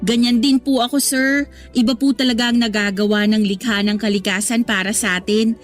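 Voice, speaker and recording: An actress playing a cheerful, respectful female store employee with a Filipino accent, polite and expressive in a radio drama. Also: on the high side, fairly quick, soft background music, lightly acted. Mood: sincere, respectful. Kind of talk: Tagalog radio drama acting, conversational.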